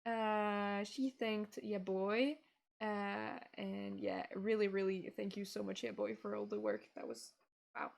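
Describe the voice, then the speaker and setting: Young woman, giggly, halting, casual livestream host. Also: medium pitch, animated, vocal fry. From France. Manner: giggly, halting, restarts often. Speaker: VTuber streamer hosting. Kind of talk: casual livestream chat reading viewer comments.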